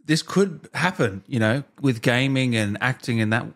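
A man's voice: medium pitch, lively intonation, normal speed. A man in his thirties hosting a casual interview, speaking into a close desk microphone. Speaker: host, interviewer. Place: small indoor streaming room.

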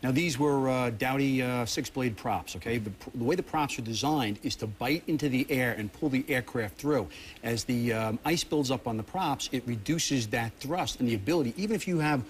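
A man's voice: mid-range voice, moderate pace, slight background noise. A middle-aged American man giving an emphatic, instructive technical explanation as an expert guest in a TV studio. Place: television news studio.

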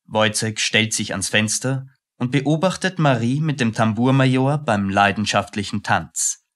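A man narrates a radio play from a script. His voice is medium in pitch with a bright, forceful edge. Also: measured pace.

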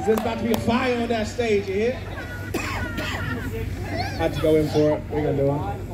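A man's voice: high voice, lively intonation, a little fast, echoey room, very noisy. A man fronting a band at an outdoor festival, rapping and chatting informally to the crowd through the PA. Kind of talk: live stage banter and rapping. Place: outdoor festival stage at night. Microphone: handheld mic through PA, filmed from audience. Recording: loud live band, festival phone recording.

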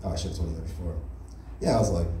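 Young American male stand-up, low voice, deadpan and casual, in a noisy small club with a distant mic. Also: very fast. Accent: General American. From United States. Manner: deadpan, casual, leans on "right?" and "you know?". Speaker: stand-up comedian. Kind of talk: stand-up comedy set. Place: small comedy club stage, indoors. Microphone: handheld mic on stand. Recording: roomy club sound from a far camera.

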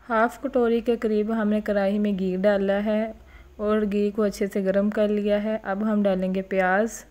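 A woman calmly narrating a home cooking recipe step by step as host of her cooking channel. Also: medium pitch, a little slow.

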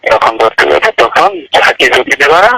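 A man's voice: on the high side, wildly swooping pitch, a little fast, creaky, pushed and bright, no echo. A man with a Bangladeshi accent talking privately on the phone, insistent and repeating himself to press his point. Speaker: teacher. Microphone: telephone. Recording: noisy phone call recording with clicks.

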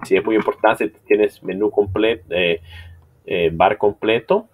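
A middle-aged man with a deep, low voice talks through an online tutorial from a home desk. His delivery is loose and conversational, full of fillers and self-corrections. It sounds like an unscripted screen-share lesson. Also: fairly even intonation, unhurried, a little hiss or hum. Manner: loose, chatty, many fillers and restarts. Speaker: marketing instructor.

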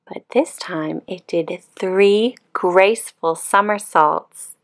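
Calm lady reading a kids bedtime story, lowish creaky voice, takes her time. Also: takes it slowly. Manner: calm, expressive. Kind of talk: children's picture book read-aloud.

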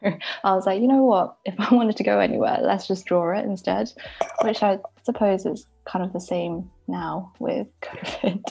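A woman's voice: mid-range voice, moderate pace, gravelly, a little mellow, breathy edge, faint music behind. A young woman from Taiwan, an illustrator chatting as a guest over a video call from home, often giggling. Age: young adult. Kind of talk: casual interview chat.

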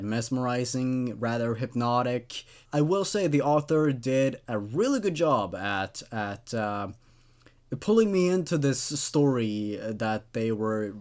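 A man in his twenties, a non-native English speaker, hosting and narrating horror stories into a close studio microphone. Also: mid-range voice. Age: 20s. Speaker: YouTube host reading horror stories. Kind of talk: story narration and casual commentary. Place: small dark indoor room. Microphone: studio microphone on a boom arm, close.

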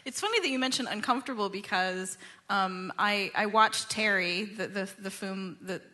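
A woman's voice: medium pitch, normal speed, bright, forceful tone. A woman hosting a radio show, asking questions in a casual live interview.

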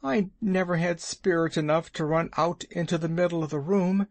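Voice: mid-range voice, normal speed, a little mellow, dry recording, pitched above the performer's own voice, natural voice. A storyteller voicing a timid, broken-hearted male muskrat who whimpers and pleads tearfully. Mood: fearful.

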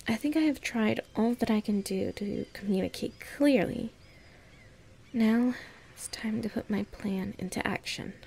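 A woman playing a playful, tender young human in love with a dragon, musing aloud softly in an intimate audio roleplay. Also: on the high side, vocal fry, slight background noise. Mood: tender, wistful.

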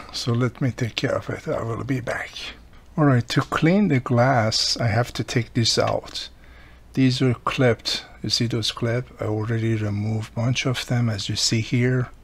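A man at his workbench walks the viewer through a repair, patient and methodical, his phrasing a little non-native. His voice sits at a middle pitch. A faint hum runs under the overhead mic. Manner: patient, step-by-step explaining. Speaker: radio repair technician. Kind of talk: hands-on repair tutorial. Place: workbench, indoors.

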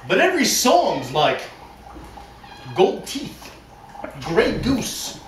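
Very slow, theatrical man, recorded from afar. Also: high voice, huge pitch swings. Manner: theatrical, deliberate, with long pauses. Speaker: talent show performer. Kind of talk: mock-serious dramatic reading for an audience. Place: indoors, living room. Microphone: far camera. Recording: distant camera, roomy echo, noisy background.

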